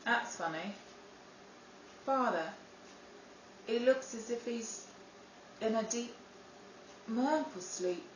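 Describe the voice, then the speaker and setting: A woman playing a wry, measured young Englishwoman in a 1930s-style radio drama. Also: a little high, lively intonation, a little hiss or hum. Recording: roomy room, distant mic.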